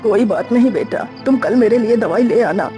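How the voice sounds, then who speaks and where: A woman voicing a gentle, reassuring old father in a village cartoon. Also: on the high side, animated, moderate pace, over loud music, natural voice.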